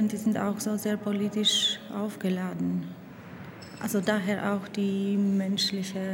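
A young woman art student answering interview questions, soft-spoken and hesitant, often searching for words, in a basement exhibition room. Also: medium pitch. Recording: noisy background.

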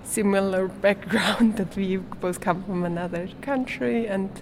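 A woman's voice: mid-range voice, steady tone, a little slow, a little hiss or hum. A young woman, answering interview questions in English as a performance artist, searching for words with many fillers. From Switzerland.

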